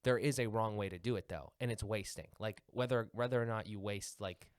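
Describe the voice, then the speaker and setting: Young man, low-leaning pitch, quick delivery, casual podcast chat, argumentative, thinking out loud. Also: no room sound, studio quality. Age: young adult. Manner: argumentative, reasoning aloud, restarts points. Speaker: podcast co-host.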